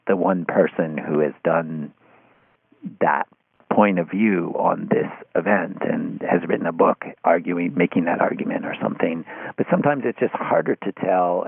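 Husky, low man on a phone-like line. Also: no echo. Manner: halting, circling back over phrases. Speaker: interview guest. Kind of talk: analytical conversation.

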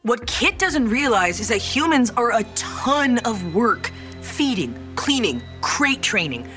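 Audio comedy casting: brash female robot, forceful bright tone, lively swings, blunt and defensive. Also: on the high side, takes it slowly, soft background music, full character voice. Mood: exasperated. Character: brash, sardonic, touchy female robot human-catcher. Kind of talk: audio comedy drama acting.

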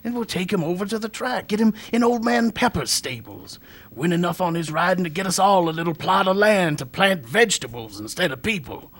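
A man's voice: sits high, lively intonation, fairly quick, fairly bright tone, exaggerated voice. A man playing a wheedling old grave digger. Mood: scheming. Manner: wheedling, chuckling, hesitant fillers. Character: sly older male grave digger, ex-jockey. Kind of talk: radio drama acting.

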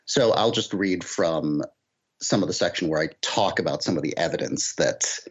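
A man speaking as a guest critic in a literary discussion, explaining things in a measured way. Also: deep voice. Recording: dull, lo-fi sound.

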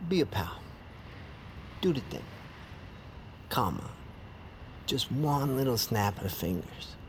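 A man's voice: medium pitch, big pitch swings, slow pace, a little hiss or hum. An actor playing an easygoing homeless man in an audio drama, coaxing and teasing his pal. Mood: cajoling. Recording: faint street ambience with vehicles.

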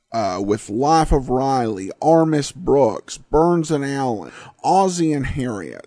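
A male podcast host talks in a casual, informative way with a lively rise and fall in pitch. His delivery is halting, with frequent ums as he goes, and the recording is close and dry. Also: no room sound. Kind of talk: casual podcast commentary, reading and answering listener email.

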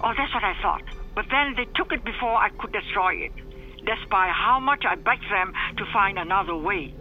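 An actress playing a haunted, remorseful older woman, a non-native English speaker confessing wartime horrors in a grave, halting way in an audio drama. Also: medium pitch, expressive, narrow, tinny audio, soft background music, lightly acted. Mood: regretful. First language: not English. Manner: halting, grave, slow and deliberate.

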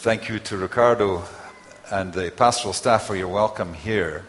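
Low-voiced preacher in a reverberant hall. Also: male voice, a little hiss or hum. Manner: speaks in short phrases paused for translation. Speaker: guest preacher. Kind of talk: sermon through an interpreter.

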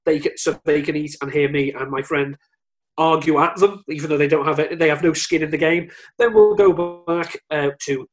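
Wry man with a slightly high voice. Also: steady tone, normal speed, faint music behind. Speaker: podcast co-host or guest. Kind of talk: casual sports chat.

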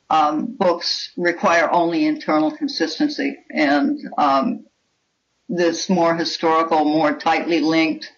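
A woman's voice: deep voice, muffled recording. An older woman speaking as a guest author in a conversational interview, explaining things articulately.

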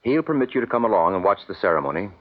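Middle-aged father, low husky voice, grave, firm, measured, muffled old radio drama. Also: very animated. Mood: grave, resigned. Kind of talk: 1950s radio drama acting. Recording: muffled vintage radio recording.

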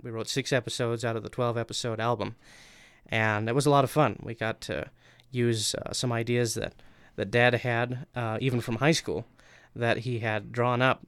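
A man's voice: medium pitch, little pitch movement, moderate pace, a bit bright, smooth, no room sound, studio quality. A young man, a guest writer, recounting his work in a casual, informal way.

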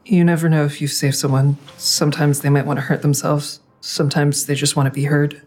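An actress playing a hesitant, guilt-ridden grown daughter who narrates and confesses plainly in an audio drama. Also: female voice, low voice, flat delivery, fairly quick, darkish tone. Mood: reflective. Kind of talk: audio drama acting with first-person narration.

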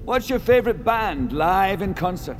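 A man acting in an audio drama as a grandiose, courteous visionary host, suave and measured like a showman. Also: sits high, expressive. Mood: grand, welcoming. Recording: music behind.

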